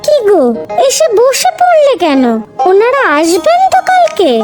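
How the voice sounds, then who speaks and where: A woman's voice: extremely high pitch, sing-song, a little slow, full character voice. A woman voicing a chatty, proud middle-aged mother in a cartoon, with a West Bengali accent. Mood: chatty, complaining. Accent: West Bengali (Ghoti). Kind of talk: cartoon dialogue. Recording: background music throughout.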